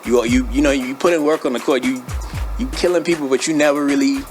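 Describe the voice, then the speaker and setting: A young man from the Bahamas speaks with a Bahamian accent in a casual interview. His talk is laid-back and slangy, peppered with bro, at a middling pitch. Music plays behind his voice. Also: steady tone, fast talker. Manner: laid-back, slangy, frequently says bro and you know what I mean. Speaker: interview guest, former athlete and brand owner. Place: studio.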